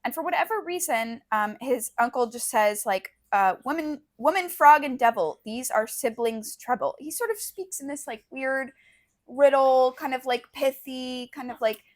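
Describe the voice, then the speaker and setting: A woman co-hosting a casual book podcast, speaking in a halting, thoughtful way with plenty of "sort of" and "like". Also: high voice. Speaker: podcast co-host discussing short fiction. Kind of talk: casual, unscripted book chat.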